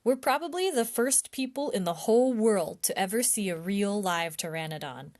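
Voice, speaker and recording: A woman's voice: medium pitch, lively intonation, normal speed, a little mellow, close to an everyday voice. A woman voicing a cautious, studious young boy in a children's storybook, doubtful and exasperated in manner. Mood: puzzled, studious. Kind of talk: children's storybook character reading.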